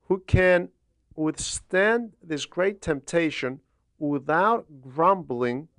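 A man delivers a religious homily at an unhurried, slow pace, with a slightly high-set voice. He teaches deliberately, breaking his sentences into short phrases with pauses. The sound is dry and close, with very little room in it. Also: no echo. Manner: deliberate, didactic, with frequent pauses between phrases. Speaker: homily reader and translator. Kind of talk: religious homily, read aloud.

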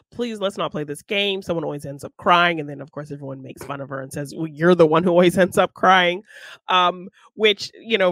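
A woman's voice: low-pitched, a bit bright, dry recording. Giggly woman chatting casually about films. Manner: giggly, acts out characters' lines. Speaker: podcast co-host discussing films. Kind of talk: casual film chat, retelling scenes.

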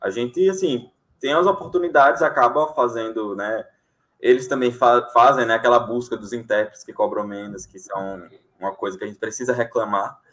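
A man's voice: medium pitch, moderate pace. A young man from Alagoas, Brazil, a sign language interpreter, chatting casually in an interview with frequent fillers and easy laughter. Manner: relaxed, many fillers, laughs easily.

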